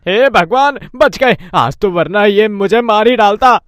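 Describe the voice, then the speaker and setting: A man voicing a quick-tempered, bickering village husband and petty thief in a cartoon, with dramatic delivery. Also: sits high, expressive, a bit bright, no echo, clean studio sound. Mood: shaken. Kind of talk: Hindi moral-story cartoon dub.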